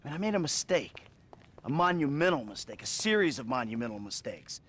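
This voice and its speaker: An actor playing a weary, defensive middle-aged ex-criminal who talks bluntly and swears, in a video game cutscene. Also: male voice, medium pitch, very animated, brisk, a bit bright. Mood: rueful. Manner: blunt, profane, self-mocking. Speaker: therapy patient.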